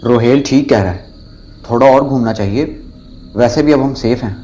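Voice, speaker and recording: Man acting a bold young student, mellow voice. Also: medium pitch, moderate pace, natural voice. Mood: dismissive, confident. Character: bold, curious young male university student adventurer. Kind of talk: audio drama acting.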